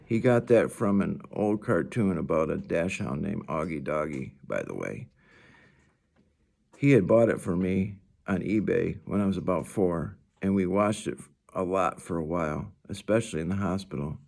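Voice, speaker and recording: A man reads a children's novel aloud. His voice sits low and has a marked creak to it, in a plain storytelling style. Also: lively intonation, moderate pace, a little mellow.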